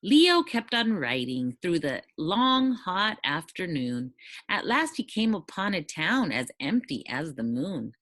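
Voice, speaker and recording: A woman giving an expressive read-aloud narration of a children's storybook. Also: lowish voice, slow pace, no room sound, clean studio sound. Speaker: storybook reader.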